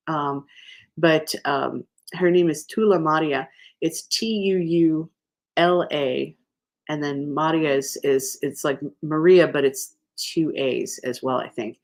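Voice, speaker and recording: Chatty woman hosting a crochet live. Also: sits low. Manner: rambling, many fillers and restarts, laughs often. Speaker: host of a live crochet stream. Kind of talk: casual rambling chat.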